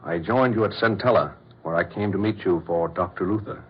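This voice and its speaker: A male actor playing a talking gorilla, calm, flat and deliberate servant of a mad doctor, in an old radio horror drama. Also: deep voice, breathy edge. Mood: calm, ominous. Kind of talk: 1940s radio horror acting. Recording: dull old radio recording, faint noise.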